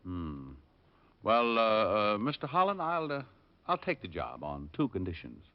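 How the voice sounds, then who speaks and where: A muffled old radio recording of a cocky American private detective. His voice sits low and moves freely up and down. He delivers everything with wry, glib, sardonic ease. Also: wildly swooping pitch, measured pace, full character voice. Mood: wry, businesslike. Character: wisecracking, cocky male private detective for hire. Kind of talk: 1950s radio drama acting.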